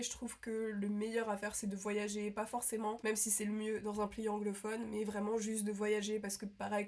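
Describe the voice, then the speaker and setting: A woman's voice: medium pitch, a little mellow. A young woman from France chatting casually to her viewers, rambling with lots of fillers and restarts. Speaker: vlogger. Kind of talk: casual chat to camera.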